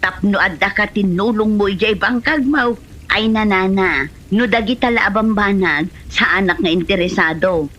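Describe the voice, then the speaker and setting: An actress plays a stubborn Filipino farm woman in a village comedy drama. She is exasperated and set on staying single, and speaks at a middling pitch. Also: normal speed, a bit bright, lo-fi sound, natural voice. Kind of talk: comedy radio-style drama acting.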